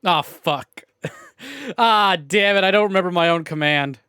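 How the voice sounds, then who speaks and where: A man's voice: high voice, measured pace, bright, forceful tone, smooth, dry recording, studio quality. A young man streaming a game, chatting casually with his chat, joking and swearing freely.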